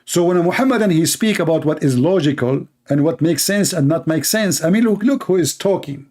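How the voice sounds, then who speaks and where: A man's voice: on the high side, animated, fairly quick, a little mellow. A man whose first language is Arabic, hosting a live online debate in English with mocking, sarcastic emphasis. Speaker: debate show host.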